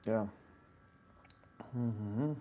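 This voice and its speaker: A man's voice: lowish voice, very slow, dark tone, slight background noise. A man with a Latvian accent, an arm wrestling coach answering viewer questions in a live Q&A, explaining technique in short bursts and reading chat questions aloud.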